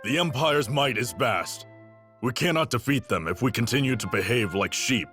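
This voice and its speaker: A man's voice: medium pitch, expressive, normal speed, a bit bright, faint music behind, natural voice. A man playing a solemn, loyal royal commander in a video game cutscene, with formal, courtly delivery.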